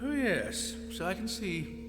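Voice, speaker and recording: High-pitched, exasperated time-traveller. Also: male voice, huge pitch swings, takes it slowly, exaggerated voice. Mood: worried. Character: alarmed, exasperated male time-travelling Doctor. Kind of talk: audio drama acting. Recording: long reverb, music behind.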